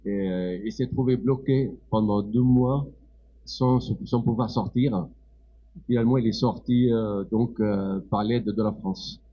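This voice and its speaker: Halting, low-voiced older man from Palestine. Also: fairly even intonation, dark tone, muffled recording. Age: middle-aged or older. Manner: halting, searching for words. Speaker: guest speaker, doctor. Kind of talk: unscripted public talk.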